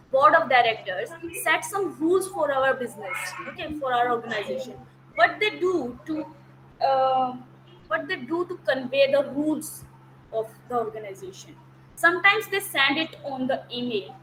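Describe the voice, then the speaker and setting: High bright-voiced woman teaching online. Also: slight background noise, poor audio. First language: Hindi. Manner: explains step by step, repeats key terms, checks understanding. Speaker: academic counsellor. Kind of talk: online lecture. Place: online class. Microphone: video call.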